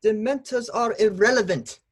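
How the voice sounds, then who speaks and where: An actor playing a pompous, dismissive government minister at a trial, impatient and scoffing in a table read. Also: male voice, high voice, moderate pace, slightly rough. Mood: scornful. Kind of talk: amateur online table read of a screenplay.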